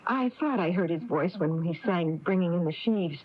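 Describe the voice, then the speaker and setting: A woman's voice: lowish voice, expressive, moderate pace. An actress playing an earnest, worried young married woman from a small town in a 1980s radio drama. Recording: muffled noisy radio broadcast tape.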